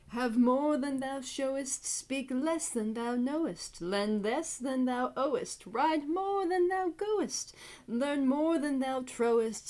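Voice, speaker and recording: A woman acts out a cheeky court jester from classical theatre, mocking and full of riddles. The voice sits fairly high, with slow, teasing, theatrical delivery. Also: a little mellow. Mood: sly instruction. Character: impudent court fool, witty, mocking, riddling, needling an old master. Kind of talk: Shakespearean stage monologue.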